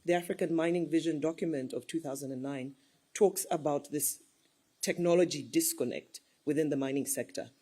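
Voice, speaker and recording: Husky, mellow-voiced woman panelist. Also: sits low, a little fast. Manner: earnest, persuasive, lists examples. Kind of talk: panel discussion.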